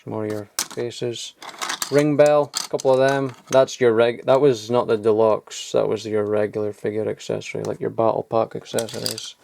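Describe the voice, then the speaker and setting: A man's voice: fairly low pitch, level tone, normal speed, gravelly, a little mellow. Man, casual rambling commentary, mumbled asides, swears offhand, faint background hiss. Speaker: toy collector vlogger. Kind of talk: casual off-the-cuff commentary while sorting toys. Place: cluttered loft.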